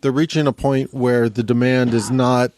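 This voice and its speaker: A man co-hosting a casual podcast, explaining his points in a measured, conversational way. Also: mid-range voice, little pitch movement, darkish tone. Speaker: podcast co-host. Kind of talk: casual panel chat.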